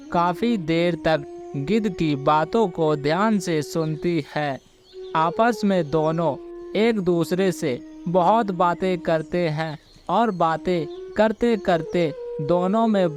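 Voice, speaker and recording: A man tells a children's moral tale in simple narration. His voice is high for a man and he takes his time, with music playing behind him. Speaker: storyteller.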